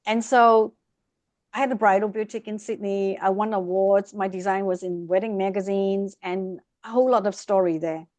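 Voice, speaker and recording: Podcast guest: middle-aged woman, expressive storyteller, dry close sound. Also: mid-range voice, moderate pace, no room sound. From Vietnam. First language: Vietnamese. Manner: lively, expressive, speeds up in bursts. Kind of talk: casual interview storytelling.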